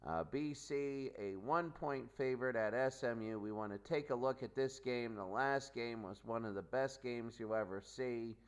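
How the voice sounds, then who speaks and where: A man's voice: mid-range voice, moderate pace, hardly any pauses, clear, resonant tone. A man hosting a sports pregame show with unscripted, off-the-cuff commentary that repeats itself. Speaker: sports host and announcer.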